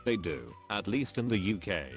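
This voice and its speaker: Male synthetic narrator for reading online comments: lowish voice, evenly phrased, faint music bed. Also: very animated, moderate pace. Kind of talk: scripted read-aloud narration.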